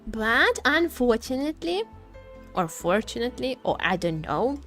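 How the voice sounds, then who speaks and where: A woman's voice: fairly high pitch, fairly bright tone. A woman livestream host chatting casually, rambling and searching for her words as she goes. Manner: rambling, hesitant word searching.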